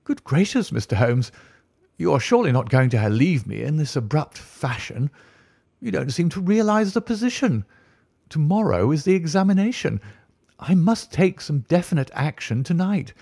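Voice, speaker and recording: A man's voice: medium pitch, sing-song, normal speed, warm tone, husky, no room sound, studio quality, voice pushed up. A man voicing an agitated, fussy male college tutor in an audiobook, pleading and anxious.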